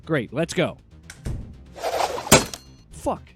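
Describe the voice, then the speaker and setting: A wry, animated agency director. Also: male voice, a little high, very slow, loud music behind the voice, heavily acted. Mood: alarmed. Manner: casual, wry, exasperated. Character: wry, anxious male agency director, loyal and exasperated. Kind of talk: audio drama acting.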